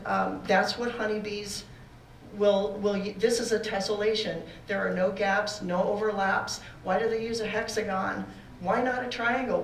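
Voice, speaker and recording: Woman, class presenter, loud voice, halting lecture, echoey room, distant mic, faint room noise. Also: medium pitch. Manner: loud voice, halting with fillers and restarts. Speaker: beekeeping course presenter. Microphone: far room recording, no mic for a while.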